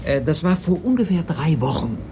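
A man's voice: fairly high pitch, big pitch swings, moderate pace. An actor playing a timid, fussy male porter and secretary in a German crime radio play, speaking politely and formally with hesitations. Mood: hesitant, hushed. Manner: hesitant, polite, formal, breaking into hushed tones. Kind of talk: 1980s German crime radio drama acting. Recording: studio radio play with noisy background ambience.

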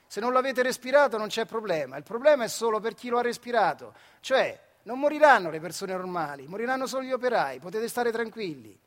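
An actor playing a glib television newsreader in a theatrical parody, declamatory and falsely reassuring. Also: male voice, high voice, very animated, a little fast, few pauses, in a large hall, voice pushed up. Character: glib, official television newsreader quoting asbestos experts. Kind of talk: theatrical parody of public announcement.

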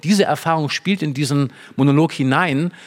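A man's voice: on the high side, moderate pace. A man discussing a play in an emphatic, explanatory, analytical way. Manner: explanatory, emphatic, acts out short quotes. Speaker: literary discussion speaker.

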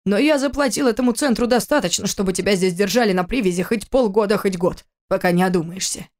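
A man's voice: high voice, fast talker, close to an everyday voice. A woman voicing a stern, grieving father. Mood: angry, demanding. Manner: forceful, reproachful, rhetorical questions. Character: stern, angry, grieving older father scolding his son.

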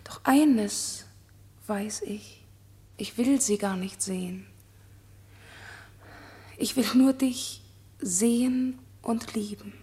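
An actress playing an innocent, lovestruck young maiden in a German radio drama, soft and earnest with tender emotion. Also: female voice, fairly high pitch, extremely slow, pauses often, breathy edge, exaggerated voice. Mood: shy, wondering.

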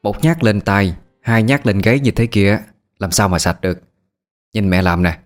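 Mean stepmom, fake sweet then nasty, a guy doing her, lowish voice. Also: lively intonation, normal speed, soft background music, close to an everyday voice. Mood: threatening. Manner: sweet-talking, threatening. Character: cruel stepmother, two-faced and harsh.

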